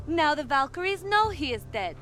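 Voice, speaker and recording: Brief: young Viking shieldmaiden for a game, high voice, slow taunting delivery, music behind. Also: female voice, sharp tone, exaggerated voice. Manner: taunting, playful. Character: young Norse warrior sister, cocky, teasing, fearless. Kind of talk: video game cutscene acting.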